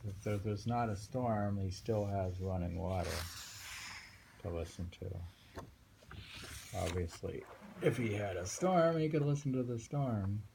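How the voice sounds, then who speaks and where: A man reading poems aloud and commenting on them informally in a halting, musing way. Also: lowish voice, vocal fry, dark tone, a little hiss or hum, poor audio. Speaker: poetry reader and commentator.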